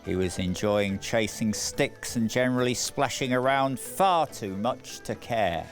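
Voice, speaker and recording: A man narrating a scripted children's story in a measured, deliberate way with dramatic phrasing. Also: medium pitch, expressive. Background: music.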